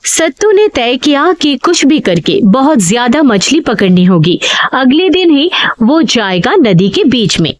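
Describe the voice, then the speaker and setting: Woman narrator for a cartoon tale, animated and expressive. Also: sits high, measured pace. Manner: animated storytelling. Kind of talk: scripted storybook narration.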